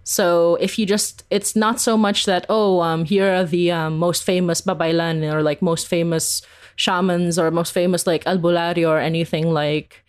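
A woman from the Philippines speaks as an interview guest with a slightly low voice. She is chatty and giggly, rambling through her thoughts and often breaking off to start again. The delivery is casual and conversational. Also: steady tone, moderate pace, clear, resonant tone. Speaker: interview guest, horror audio drama creator.

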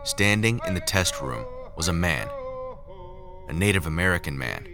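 A very low-pitched male scientist played by a male actor tells his story in a sci-fi audio drama. He is haunted and measured, brooding over each phrase, with music clearly playing underneath. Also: expressive. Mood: brooding, grave. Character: haunted male lab scientist recounting secret experiments. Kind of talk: first-person scripted audio drama narration.

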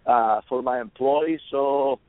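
A man from Italy with an Italian accent and a hoarse, worn voice, answering interview questions in a rambling way. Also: medium pitch, takes it slowly, dry recording. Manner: rambling, restarts, listing. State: hoarse, losing his voice. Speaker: guest. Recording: dry phone line.

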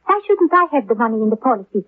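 A woman's voice: on the high side, sing-song, fast, no echo. A woman playing a defensive, self-pitying European swindler in a 1950s radio drama, with formal, careful phrasing. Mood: pleading, defensive. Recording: thin, narrow-band vintage 1950s radio.

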